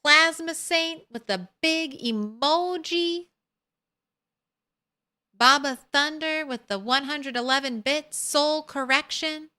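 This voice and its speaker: A woman streamer chatting softly and casually with her viewers while playing a game, thanking them as she goes. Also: high-pitched, takes it slowly. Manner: soft, relaxed, thanks viewers. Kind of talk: casual live-stream chat while gaming.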